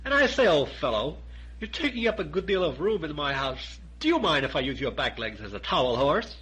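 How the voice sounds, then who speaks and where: Man as brisk, fussy storybook rabbit, animated. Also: a little high, breathy edge, pitched above the performer's own voice, exaggerated voice, poor audio. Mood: cheerful, fussy.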